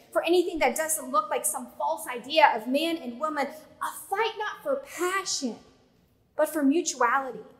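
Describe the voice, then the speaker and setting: A woman in her thirties, a pastor, preaching a sermon in a church sanctuary at a pulpit mic, measured with slow, emphatic pauses. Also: sits high, a bit bright, low-quality recording. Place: church sanctuary with pipe organ.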